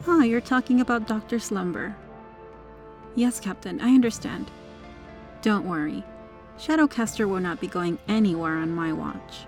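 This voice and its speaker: Woman acting a mellow, controlled superheroine with dark secrets. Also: medium pitch, moderate pace, pauses often, soft background music, close to an everyday voice. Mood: dutiful, noble. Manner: smug, flirtatious, controlled. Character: possessive, teasing female superhero hiding a dark obsession. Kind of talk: ASMR roleplay acting.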